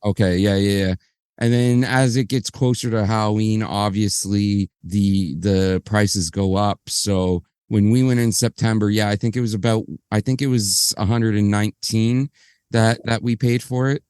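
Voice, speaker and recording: A slightly hoarse man chatting on a podcast, his voice still smooth and resonant under the roughness. He rambles, apologizes and backtracks, recorded close on a dry mic with no room sound. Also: lowish voice, steady tone, moderate pace, darkish tone, no echo. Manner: rambling, apologetic, self-correcting. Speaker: podcast co-host. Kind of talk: casual podcast chat.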